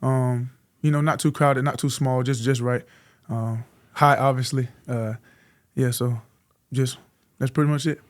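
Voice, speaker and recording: A young man in his twenties from Florida, a college football player answering interview questions in a soft-spoken, polite way. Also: medium pitch, fairly even intonation, unhurried. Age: 20s.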